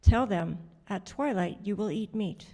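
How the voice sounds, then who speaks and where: A woman from the congregation reading a scripture passage aloud, steady and clear. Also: medium pitch, a little slow. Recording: church hall reverb.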